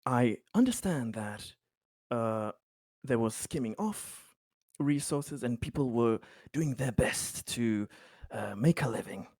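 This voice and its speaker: A man playing a placating yet firm bureaucratic official in improvised tabletop roleplay, speaking haltingly and officiously with long pauses. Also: medium pitch, animated, darkish tone, no echo, clean studio sound.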